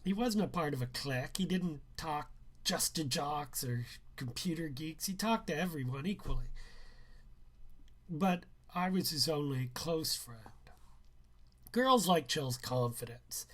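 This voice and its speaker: A man reading a first-person novel aloud as its author, with deliberate storytelling phrasing. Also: fairly high pitch, lively intonation, a bit bright.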